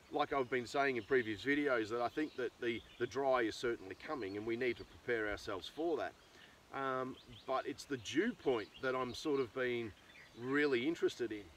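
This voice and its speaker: Casting: middle-aged Australian male farmer, mid-pitched, rambling and informal, recorded at some distance outdoors with light natural noise. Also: fairly bright tone. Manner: rambling, thinking aloud. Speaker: farmer and video host. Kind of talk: casual unscripted vlog talk. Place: outdoors in an open rural pasture.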